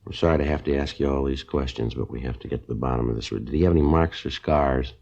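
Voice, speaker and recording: A very low-pitched American police detective, brisk and methodical. He is calm, polite and businesslike, on a dull 1950s radio drama recording. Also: vocal fry, heavily acted. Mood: methodical, courteous.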